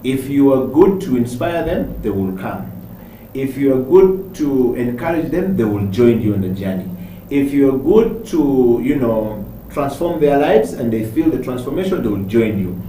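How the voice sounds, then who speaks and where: A man hosting a studio interview, speaking in a measured, reflective way with pauses. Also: mid-range voice, animated, warm tone. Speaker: talk show host. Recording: roomy, distant mic with faint noise.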